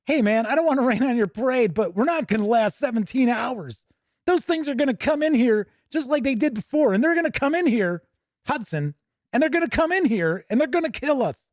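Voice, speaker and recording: A man plays a frantic, defeatist space marine from a sci-fi movie. His voice is pushed way up high, whining and wailing in protest, with a dry, close sound. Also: animated, brisk, no echo. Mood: panicked, protesting. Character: panicking young male space marine, whiny and defeatist.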